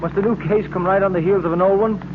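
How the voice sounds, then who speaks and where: A man's voice: sits high, steady tone, fast, narrow, tinny audio, lightly acted. An actor playing a folksy, loyal policeman sidekick with a plain-spoken manner in a 1940s radio serial. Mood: puzzled, weary. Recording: noisy, band-limited old radio recording.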